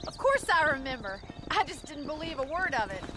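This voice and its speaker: A woman's voice: high-pitched, fairly quick, over music. A woman playing a spirited, teasing rancher's daughter in a video game. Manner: teasing, animated.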